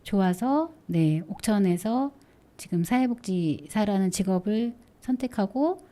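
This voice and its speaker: A woman's voice: medium pitch, animated, measured pace, mellow. Chatty teasing Korean woman host. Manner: chatty, teasing, laughs often. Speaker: talk show host. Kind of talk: casual radio talk.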